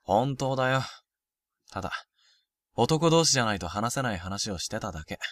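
A man playing an earnest, lovelorn high school boy in a Japanese voice drama, speaking as a polite but casual junior. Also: expressive, normal speed, dry recording, studio quality, distant mic, lightly acted. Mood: reassuring. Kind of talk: Japanese romantic-comedy voice drama acting.

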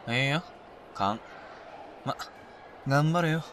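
A man playing a laid-back, teasing high school boy who talks in a terse, offhand way in a youth audio drama. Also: takes it slowly, fairly bright tone. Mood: dry, teasing. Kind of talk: Japanese youth romantic comedy voice drama. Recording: faint ambient noise behind.

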